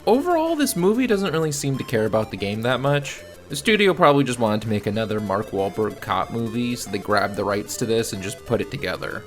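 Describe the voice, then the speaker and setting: A man giving scripted film review commentary in a blunt, exasperated, sardonic way, with a gravelly creak. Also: on the low side, very animated, a little fast, few pauses, soft background music. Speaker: video reviewer.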